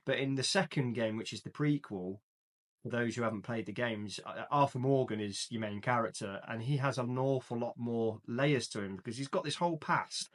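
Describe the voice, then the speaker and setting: A man co-hosting a casual film podcast, talking in quick bursts with restarts and laughs. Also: medium pitch. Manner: chatty, frequent restarts and laughs. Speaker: podcast co-host. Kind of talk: casual chat.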